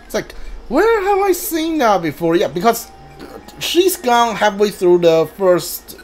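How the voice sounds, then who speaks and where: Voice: mid-range voice, very animated, measured pace, soft background music. A video game streamer giving casual commentary and reading on-screen dialogue aloud, muttering informal asides. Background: video game ambience.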